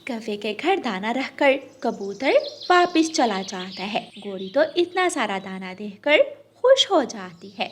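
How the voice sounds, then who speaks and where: A woman's voice: fairly high pitch, sing-song, unhurried, few pauses, a little hiss or hum. A woman reading scripted narration for a children's story as its narrator.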